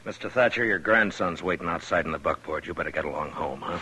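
An actor playing a stern, protective Old West marshal, measured and authoritative, in an old-time radio western. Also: male voice, low voice, crowd noise, natural voice. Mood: calm, firm. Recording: noisy old radio recording.

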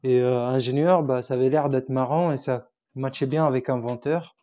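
Hesitant young man, dark dull voice. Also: medium pitch, fairly even intonation, moderate pace. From Tunisia. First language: Arabic. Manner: hesitant, searching for words with many fillers. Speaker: podcast guest. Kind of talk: casual interview chat.

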